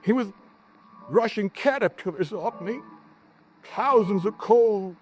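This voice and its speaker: An actor in a 1940s radio drama playing a haunted scientist who narrates his ordeal in a brooding, hesitant way with dramatic pauses. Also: high-pitched, a little hiss or hum. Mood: fearful, agitated. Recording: vintage radio recording with music behind.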